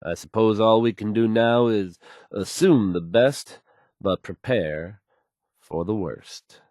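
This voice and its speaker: An actor playing a self-assured, smooth male radio DJ in a game, delivering news with polished, wry showmanship. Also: lowish voice, takes it slowly, a little mellow, no echo, clean studio sound. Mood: grave, sympathetic. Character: confident, suave, upbeat male radio DJ.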